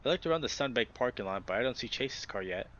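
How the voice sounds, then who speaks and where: A man's voice: medium pitch, fast, dull audio. A man hosting a let's play, reading a visual novel aloud and commenting casually and expressively. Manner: expressive reactions, swears casually.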